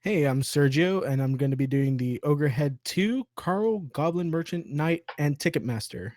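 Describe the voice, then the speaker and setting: A man's voice: on the high side, lively intonation, normal speed, a little mellow. A man taking part in an online table read, talking casually. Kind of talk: casual chat.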